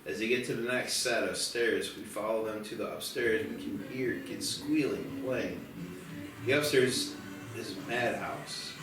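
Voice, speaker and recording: Echoey low-voiced man narrating a script. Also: a little slow. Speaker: narrator reading screenplay stage directions. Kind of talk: scripted narration at a table read. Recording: echoey room, distant mic, faint noise.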